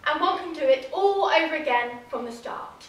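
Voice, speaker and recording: A woman's voice: high-pitched, animated, normal speed. A woman performing a theatrical monologue as a witty, arch Victorian society lady, drawing out and savoring each phrase. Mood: arch, teasing. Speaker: drama student performing a monologue. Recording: very echoey room, mic far off.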